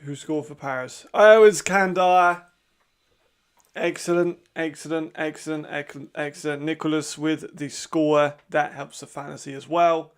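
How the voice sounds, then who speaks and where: A man hosting a watch-along, giving casual live commentary with a raspy voice, chatty and laughing often. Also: on the high side, fairly even intonation, normal speed. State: hay fever.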